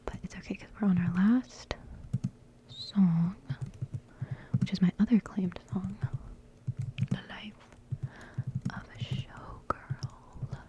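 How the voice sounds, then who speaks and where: A young woman whispering a relaxed music reaction in ASMR style, giggling softly and rambling with plenty of fillers. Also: medium pitch, slow, pauses often, muffled recording. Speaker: ASMR creator reviewing music.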